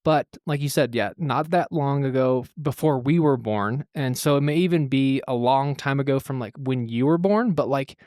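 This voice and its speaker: A man's voice: mid-range voice, normal speed, hardly any pauses, darkish tone, dry recording, clean studio sound. A man co-hosting a podcast, talking in an easygoing, agreeable way with lots of hedging. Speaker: podcast co-host. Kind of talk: casual podcast chat.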